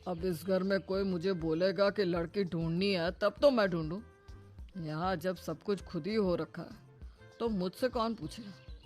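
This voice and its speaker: High-pitched grumbling father played by a man. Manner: sarcastic, complaining. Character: grumbling, teasing older father of a grown son. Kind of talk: cartoon voice acting.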